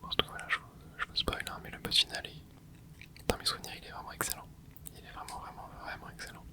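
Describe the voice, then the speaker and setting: Guy in his 20s whispering through a game, soft and hushed, gets all excited under his breath. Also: fast talker. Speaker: gaming ASMR creator. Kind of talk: whispered ASMR gameplay commentary.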